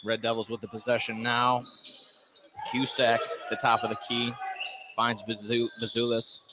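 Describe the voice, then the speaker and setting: A man calls a live basketball game for radio in a mid-pitched voice. He sometimes trips over a phrase and corrects himself. Clear gym noise and a bouncing ball sit behind him. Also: level tone, normal speed. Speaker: play-by-play commentator. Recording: noisy live gym broadcast. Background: clear background noise, bouncing basketball.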